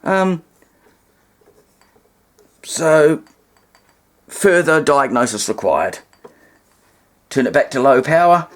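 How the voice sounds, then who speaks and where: A man's voice: on the high side, very animated, slow, pauses often. A man presenting a casual hands-on demonstration, explaining things in a rambling way with asides and alternative terms. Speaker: presenter demonstrating vintage radio testing.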